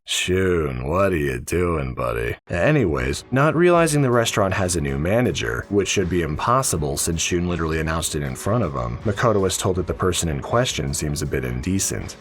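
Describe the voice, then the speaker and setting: A man reading a scripted story recap in measured, formal phrasing with a wry streak. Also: low voice, gravelly. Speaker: video essayist narrator.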